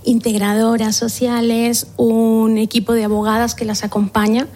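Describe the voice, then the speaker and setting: A woman from Colombia, director of a shelter, answering interview questions in an earnest, measured way. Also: a little high, monotone, mellow. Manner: earnest, measured, with small restarts.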